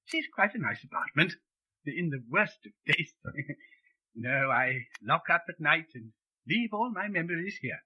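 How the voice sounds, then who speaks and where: High, reedy old shopkeeper. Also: male voice, very animated, measured pace, breathy edge, lo-fi sound, heavily acted. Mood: chatty, wistful. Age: older. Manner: chuckling, rambling, doddering. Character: genial, nostalgic old widowed junk-shop keeper. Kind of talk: old-time radio drama acting.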